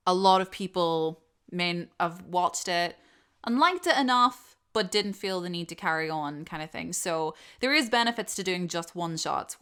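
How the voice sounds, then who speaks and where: A woman's voice: deep voice, pushed and bright, clear, resonant tone. A woman who creates audio dramas, talking casually and unscripted as she answers subscriber questions in a rambling, self-deprecating way.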